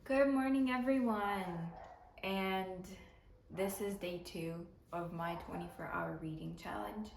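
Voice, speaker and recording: A woman's voice: mid-range voice, slow pace, very noisy. Chatty woman, native Ukrainian speaker, roomy sound. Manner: chatty, thinking aloud with restarts. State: tired. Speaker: book vlogger. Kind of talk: casual vlog chat about reading. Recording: roomy, far from the mic, faint background ambience.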